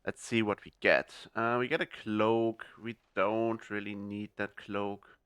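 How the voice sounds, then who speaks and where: A man's voice: on the low side, flat delivery, a little slow, a bit bright, smooth, no room sound. A young man hosting a gaming video, talking through his choices in a casual, hesitant way with lots of fillers and restarts. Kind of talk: casual gameplay commentary.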